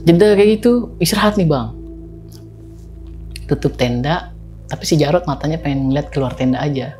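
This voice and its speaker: A man's voice: fairly high pitch, moderate pace, faint music behind. Podcast guest, Betawi man, casual storyteller who acts out voices and laughs easily. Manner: animated, slangy, acts out quotes, laughs often.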